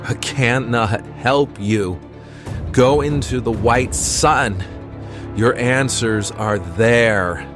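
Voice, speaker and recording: An actor playing a guarded, solemn mystic healer in an audio drama, with a measured manner. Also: male voice, mid-range voice, music in the background. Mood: solemn refusal.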